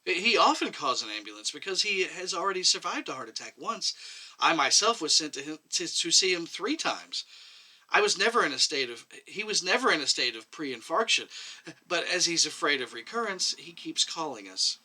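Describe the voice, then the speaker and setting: A man voicing a nervous, apologetic middle-aged woman medic, halting and defensive, in a short story reading. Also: on the high side, very animated, pushed and bright, voice pushed up, exaggerated voice. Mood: hesitant, explaining.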